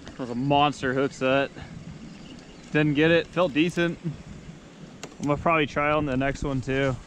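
A man's voice: a little high, fairly even intonation, normal speed, a bit bright. A man in his thirties vlogging while fishing on a riverbank below a dam, talking matter-of-factly to a body-worn action camera. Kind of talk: casual outdoor vlog narration. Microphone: action camera, chest or head mounted. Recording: outdoor noise, wind and water. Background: wind and water.

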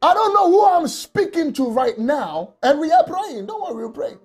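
A man preaching an emotional sermon from a small office on a lapel mic, often shouting and crying out. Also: very high voice, big pitch swings, normal speed. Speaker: pastor.

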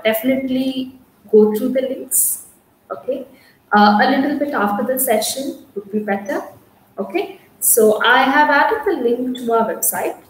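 A young woman with an Indian English accent, teaching a live online lesson from a small room, chatty and reassuring, with many fillers. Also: mid-range voice. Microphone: laptop webcam mic on a video call. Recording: echoey room, faint background noise.

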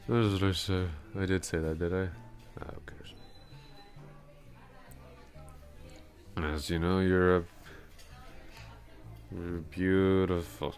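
Casting: male actor as tipsy, smitten male coworker for ASMR roleplay; extremely low voice, slow halting rambles, bashful and self-deprecating. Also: flat delivery, a little mellow, faint music behind. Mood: dreamy, flirtatious. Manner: rambling, halting, repeating himself. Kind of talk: intimate ASMR roleplay acting.

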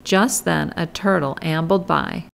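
Creaky-voiced woman reading a children's story. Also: fairly low pitch, a little slow, fairly bright tone. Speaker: storybook reader. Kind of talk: expressive children's read-aloud. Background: music and sound effects.

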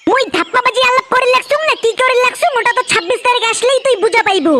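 A performer voicing a boastful, coarse-mouthed lazy son in a comedy cartoon, with a North Bengal accent and an artificially pitched-up voice. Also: expressive, rapid-fire, full character voice. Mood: cocky, defiant. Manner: pleading, bragging, coarse-mouthed. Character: boastful lazy son pestering parents for money. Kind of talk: comedy cartoon dialect dialogue. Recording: loud background noise with clicks.

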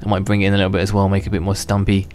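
A young man with a lowish voice talks through a game in a loose, rambling way, often restarting and chuckling at himself. He sounds a little worn, as if he is under the weather. Faint background music plays beneath his voice. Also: completely flat delivery, racing, darkish tone. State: unwell, slightly worn voice. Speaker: gaming channel host. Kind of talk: casual gameplay commentary.

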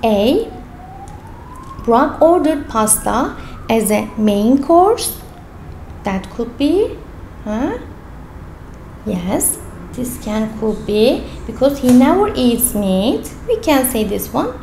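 A woman's voice: a little high, very animated, slow pace, long pauses, darkish tone, roomy sound, slight background noise, low-quality recording. A woman teaching English, reading worksheet questions aloud and explaining each answer step by step. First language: Turkish. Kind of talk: worksheet walkthrough lesson. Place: indoors at a desk over a paper worksheet.

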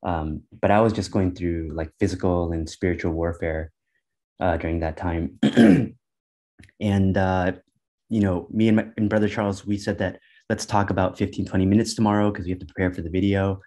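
A man's voice: deep voice, steady tone, moderate pace, warm tone. A congested man with a cold speaking hesitantly over a video call, with many ums and restarts. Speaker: guest Bible teacher. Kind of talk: casual testimony.

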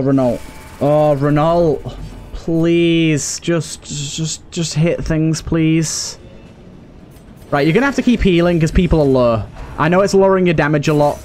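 A man's voice: fairly high pitch, darkish tone. A man giving casual let's play commentary in an anxious, exasperated way, often repeating himself. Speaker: gaming YouTuber and host. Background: game music.

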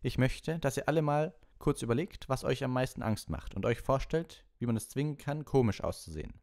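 A man's voice: medium pitch, lively intonation, fairly quick, a little mellow, voice pushed up, natural voice. A man voicing a cheerful, encouraging wizard teacher in an audiobook, instructing patiently and kindly. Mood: encouraging, instructive. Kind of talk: animated audiobook character voice.

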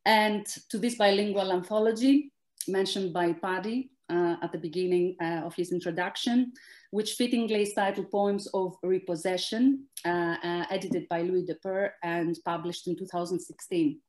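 A woman whose first language is Greek gives a prepared academic talk in a measured, formal way, with frequent filler sounds. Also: lowish voice, a little mellow. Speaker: academic speaker.

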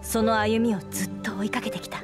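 A woman's voice: fairly high pitch, steady tone, fast talker, music in the background, lightly acted. A woman playing a dutiful young knight commander, formal and composed, in a Japanese anime game. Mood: earnest, reverent. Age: young adult.

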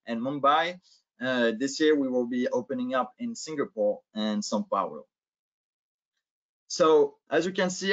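A man's voice: fairly high pitch, a bit bright. A man in his thirties with a French accent, giving a webinar presentation on a video call through a headset, with many filler sounds. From France. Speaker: guest speaker, sales manager. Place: indoor office, video call. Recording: dull lo-fi video call audio.

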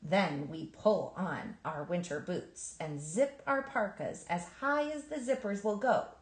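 A woman reading a children's picture book aloud in an expressive, emphatic storytelling manner. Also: medium pitch, unhurried, roomy sound. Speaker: storybook reader.

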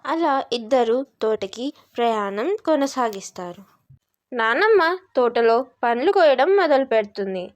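Female narrator for kids' moral tales, very high voice, animated intonation, unhurried pace. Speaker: narrator of children's moral stories. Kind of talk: scripted storybook narration.

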